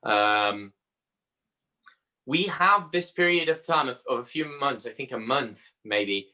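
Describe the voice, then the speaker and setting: A man with a Brazilian Portuguese accent, a native Portuguese speaker, talking as an astrologer guest in an interview and pausing often to search for words. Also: medium pitch, animated, pushed and bright. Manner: halting, searching for words with frequent pauses. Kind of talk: conversational interview.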